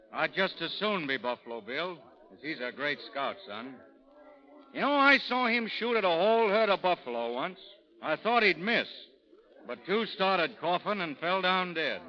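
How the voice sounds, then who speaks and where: An actor playing a wry frontier army scout with folksy, teasing humor in a 1930s radio drama. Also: male voice, high voice, sing-song, a little slow. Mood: wry, easygoing. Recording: muffled vintage 1930s radio broadcast.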